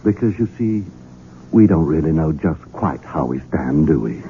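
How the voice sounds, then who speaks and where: Deep, bitter, menacing son. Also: male voice, expressive, normal speed, a little hiss or hum. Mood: menacing, sardonic. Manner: sardonic, theatrical. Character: bitter, menacing grown son, resentful of his mother. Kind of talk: 1970s radio drama acting. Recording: muffled 1970s radio broadcast with music.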